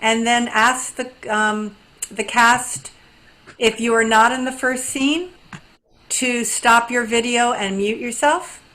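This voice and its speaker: A woman with a fairly high voice speaks on a video call. She gives practical announcements to participants as the organizer of an online event. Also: fairly even intonation, slow, pushed and bright, low-quality recording.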